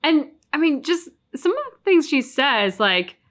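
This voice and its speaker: A woman's voice: high-pitched, sing-song, a little slow, a bit bright. A woman co-hosting a reaction channel, casually discussing a video game and acting out bits of what characters say.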